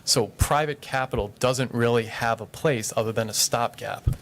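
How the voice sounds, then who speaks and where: A man's voice: medium pitch, moderate pace, fairly bright tone, slight background noise. A man answering questions at a city council meeting, firm and matter-of-fact, explaining at length. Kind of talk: public meeting testimony.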